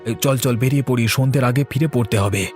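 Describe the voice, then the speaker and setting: A man's voice: mid-range voice, fast talker, a little mellow, soft background music, natural voice. A man voicing a bold, earnest village man in a cartoon, speaking urgently and expressively. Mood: urgent, planning. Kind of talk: cartoon story voice acting.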